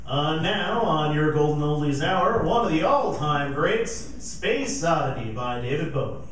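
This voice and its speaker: A man's voice: fairly high pitch, expressive, measured pace, fairly bright tone, slight background noise. A man playing a genial oldies radio disc jockey with casual patter in a live stage radio drama. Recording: very echoey hall, distant mic, faint music.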